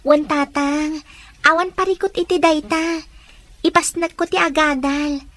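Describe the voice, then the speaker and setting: A woman's voice: high-pitched, steady tone, a little slow, a bit bright. A woman voicing a young college student who talks politely and cheerfully with her father and friends. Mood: friendly.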